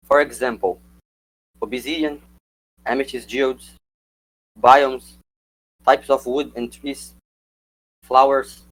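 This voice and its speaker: Young man, a native Portuguese speaker, reading a scripted talk to slides in an even middle pitch. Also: rough recording. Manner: reads prepared script, calls for slides. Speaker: student presenter. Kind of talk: prepared slide presentation.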